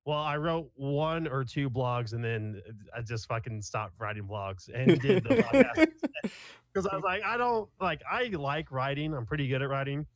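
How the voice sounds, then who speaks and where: A man's voice: medium pitch, sing-song, normal speed. Swearing, rambling guy in his twenties. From Kansas, USA. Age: 20s. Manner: rambling, blunt, swears freely. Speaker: podcast guest. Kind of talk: casual podcast chat.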